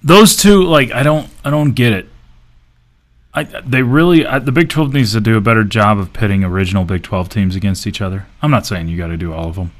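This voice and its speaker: A man co-hosting a casual sports talk show, voicing opinionated, emphatic complaints. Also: lowish voice.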